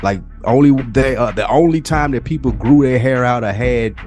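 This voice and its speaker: A man's voice: mid-range voice, normal speed, a little mellow, soft background music. An American man co-hosting a casual podcast, talking in slang and slipping into acted-out hypothetical quotes. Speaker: podcast co-host. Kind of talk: casual chat.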